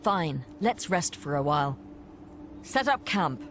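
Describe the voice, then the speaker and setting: Game adventurer, female, defiant, power-hungry, lowish voice, slow delivery. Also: sing-song, noisy background, full character voice. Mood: resigned. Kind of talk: video game cutscene acting.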